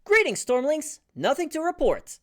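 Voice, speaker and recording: Woman doing a high-pitched chipper gatekeeper guard. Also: very animated, normal speed. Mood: chipper, dutiful. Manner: bright salute-like greeting, formulaic reporting. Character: chipper, dutiful gatekeeper guard greeting passers-by, video game style.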